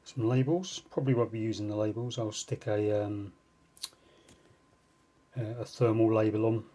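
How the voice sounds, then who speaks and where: A man's voice: lowish voice, fairly even intonation, normal speed, dark tone. A man hosting an unboxing video with casual, unscripted commentary and dry, self-deprecating asides. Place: indoors at a tabletop. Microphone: phone filming the tabletop.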